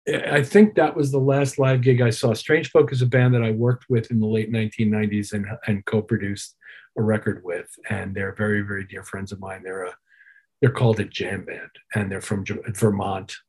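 A man's voice: lowish voice, little pitch movement, moderate pace, a little mellow, slightly rough, low-quality recording. An older man, a musician guest, talking casually in an interview with a wry, emphatic, opinionated manner. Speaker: interview guest, keyboard player and producer.

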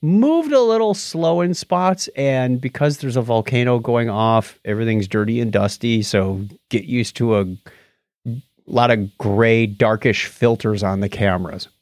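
A man's voice: medium pitch, unhurried, a little mellow, dry recording, clean studio sound. A man co-hosting a casual podcast with playful, jokey banter. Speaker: podcast co-host.

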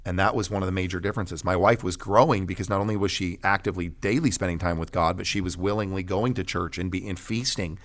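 A man's voice: deep voice, fairly even intonation, fast, few pauses, fairly bright tone. A middle-aged American man giving a personal testimony in an interview, speaking emphatically like a preacher in short, repeated phrases. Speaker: pastor guest telling his testimony.

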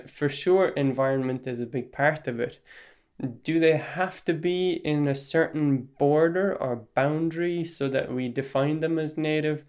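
An Irish man hosting a solo podcast, explaining his views in a measured, even-tempered way. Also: mid-range voice. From Ireland. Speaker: podcast host.

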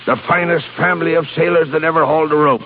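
A man acting a gruff, irascible but soft-hearted old shipping boss in a 1930s radio play. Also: a little high, steady tone, fast, natural voice. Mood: brisk, mollified. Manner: gruff, irascible, colorful sailor's insults. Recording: hissy, crackly, tinny 1930s radio recording.

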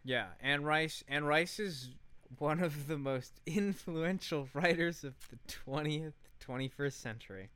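A man's voice: fairly high pitch, unhurried, fairly bright tone, clear, resonant tone, no echo. A man co-hosting a podcast, telling stories in a casual, irreverent, joking way with some swearing. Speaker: podcast co-host. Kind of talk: casual comedic storytelling.

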